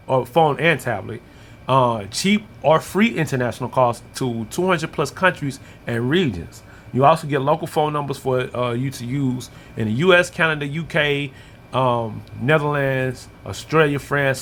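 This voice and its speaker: A man's voice: medium pitch, fairly bright tone, slight background noise. An American man giving an informal, step-by-step app walkthrough as a tutorial presenter.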